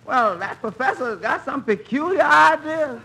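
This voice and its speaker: An actor playing a naive, earnest male waiter in 1940s radio comedy, delivering lines deadpan. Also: extremely high pitch, measured pace, gravelly, exaggerated voice. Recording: very noisy vintage radio recording.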